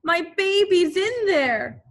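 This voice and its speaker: A frantic young mother from a children's storybook, played by a woman reading aloud. Her voice jumps high and loud. She cries out in pleading, emotional exclamations. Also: animated, takes it slowly, heavily acted. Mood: panicked.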